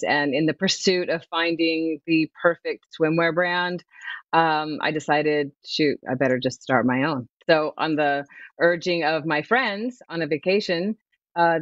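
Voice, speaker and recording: A middle-aged woman, a swimwear brand founder, chatting lively and laughing easily as a guest over a remote video call. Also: low-pitched, dry recording. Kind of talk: promotional interview chat. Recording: dull, dry video call audio.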